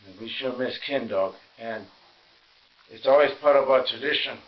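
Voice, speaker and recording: A man's voice: medium pitch, normal speed. Man filming a muffled, casual home vlog. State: tired and sore. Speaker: vlog host. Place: inside a house, walking through rooms.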